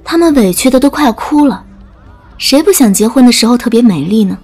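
A woman's voice: on the high side, expressive, brisk, a little mellow, husky. A woman narrating a scripted dramatic audiobook story. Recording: music bed under narration.

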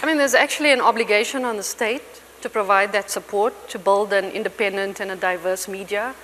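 A woman's voice: medium pitch, brisk, fairly bright tone. A woman on a conference panel, speaking earnestly and building her points in lists. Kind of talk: panel discussion. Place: large conference hall stage. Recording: long hall reverb, faint noise.

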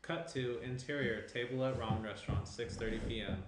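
A man's voice: mid-range voice, a little fast. A young man reading screenplay stage directions as scripted narration. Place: small indoor room around a table. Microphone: shared tabletop microphone, some distance away. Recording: roomy echo, faint room noise.

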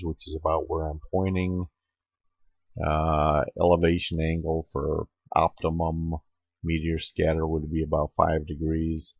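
A man with a gravelly, creaky voice talks slowly through a hands-on tutorial, pausing as he explains each step. His delivery is halting and unscripted. The sound is a dry, slightly muffled lo-fi recording made at a home computer. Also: a bass voice, dry recording. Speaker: amateur radio operator giving a demonstration.

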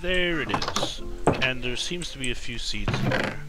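A man with a deep voice giving casual, rambling commentary while playing a game, speaking softly and correcting himself as he goes. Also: animated, moderate pace, over loud music. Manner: soft-spoken, rambling, self-correcting. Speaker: let's-play gamer.

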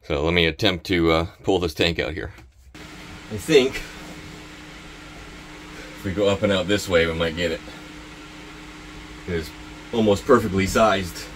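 A man in his thirties working on a boat, explaining each repair step in a relaxed, casual way. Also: sits low, measured pace, long pauses, slight background noise, low-quality recording. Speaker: boat mechanic. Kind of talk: casual how-to narration while working. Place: inside a boat cabin.

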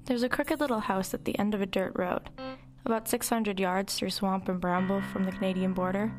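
A woman's voice: medium pitch, steady tone, brisk, music in the background, lightly acted. A woman playing a wry, reflective narrator recalling her troubled girlhood in a confiding first-person dramatic narration.

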